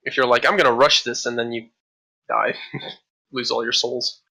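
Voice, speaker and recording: A man's voice: medium pitch, fairly even intonation, moderate pace, vocal fry, bright, forceful tone, slightly rough, rough recording. A man co-hosting a casual podcast about games, rambling with frequent restarts and casual swearing. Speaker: podcast co-host. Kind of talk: casual chat.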